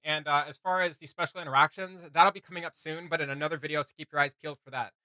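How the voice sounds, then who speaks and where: A man gives casual, enthusiastic commentary as host of a reaction video. His voice sits a little high and sounds bright, with a very dry, close sound. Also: steady tone, fast talker, clear, resonant tone, no echo. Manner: enthusiastic, informal.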